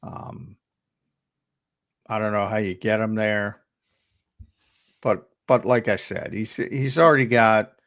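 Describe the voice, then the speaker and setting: A man's voice: lowish voice, steady tone, slow pace, pauses often, a bit bright, no room sound, studio quality. A man talking as a guest analyst on a sports show, hesitant with lots of fillers, over a remote call. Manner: hesitant, many fillers and restarts. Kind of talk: casual sports talk.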